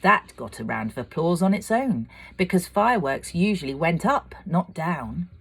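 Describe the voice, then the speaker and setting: A woman narrating a children's storybook aloud, with expressive, dramatic delivery. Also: on the low side, moderate pace, vocal fry, slightly rough. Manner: expressive, dramatic, swelling for excitement and hushing for suspense. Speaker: amateur storyteller.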